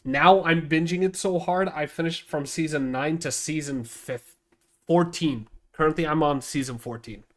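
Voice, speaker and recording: A man's voice: on the high side, normal speed. A man with a nasal voice streaming casually, thinking aloud as he edits a video, rambling with many restarts. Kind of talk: casual livestream talk while editing video.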